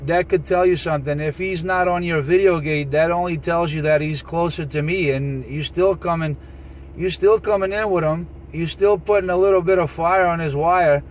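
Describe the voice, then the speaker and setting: A man's voice: fairly high pitch, steady tone, normal speed, slight background noise, rough recording. A man chatting casually as a CB radio operator, repeating his phrases and calling people man and brother.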